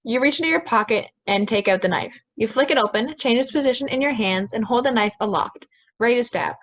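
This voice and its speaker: Impatient, dismissive juror, played by a woman, fairly high voice, dull home-recorded audio drama. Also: lively intonation, fairly quick, fairly bright tone. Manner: curt, dismissive. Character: stubborn, impatient juror sure of guilt. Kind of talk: amateur audio drama acting.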